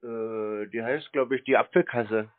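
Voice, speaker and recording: A man's voice: medium pitch, measured pace, no room sound. A man chatting casually on the phone in a laconic, colloquial and naive-sounding way. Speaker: prank call recipient. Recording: dry, narrow phone-band sound.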